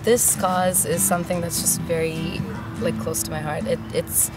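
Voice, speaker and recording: Low-voiced young woman with music behind. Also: flat delivery, normal speed, low-quality recording. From Dubai, UAE. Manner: earnest, laughs often, many fillers. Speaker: singer-songwriter guest. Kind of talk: casual interview answers. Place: outdoor city park.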